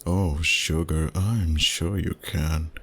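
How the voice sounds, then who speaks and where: A man voicing a dominant, flirty, suave vampire boss in an intimate roleplay ASMR style, teasing and unhurried, with courtly phrasing and pet names. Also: very deep voice, steady tone, slightly rough, full character voice.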